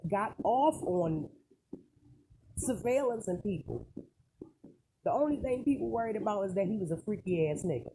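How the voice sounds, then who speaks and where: Noisy recording with clicks, mid-pitched voice, casual rambling commentary, emphatic, colloquial, rhetorical questions. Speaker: online celebrity news commentator.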